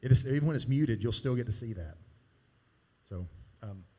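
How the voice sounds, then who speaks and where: A man's voice: medium pitch, expressive, moderate pace, mellow. A man giving casual, hands-on training on a church sound board, talking while he demonstrates. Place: church sanctuary. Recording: long hall reverb from live church sound.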